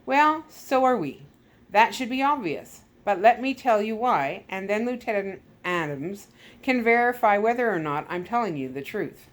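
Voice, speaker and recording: A woman voicing a gloating, talkative male Soviet KGB skipper in a storybook read-aloud, smug, deliberate and theatrical. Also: mid-range voice, animated, pitched above the performer's own voice, lightly acted. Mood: smug explaining.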